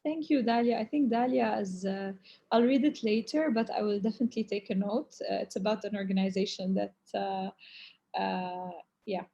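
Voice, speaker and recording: A woman's voice: medium pitch, normal speed, vocal fry, dark tone, muffled recording. A woman whose first language is Arabic, answering questions at length as a humanitarian speaker in a webinar, earnest and hesitant in phrasing with Arabic filler words.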